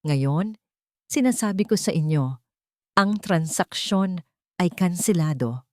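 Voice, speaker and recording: Lady doing rich boss guy in a story, firm, deliberate, commanding, kinda low voice. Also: lively intonation, darkish tone, no room sound, clean studio sound. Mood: cold, stern. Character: cold, commanding wealthy businessman avenging an old woman.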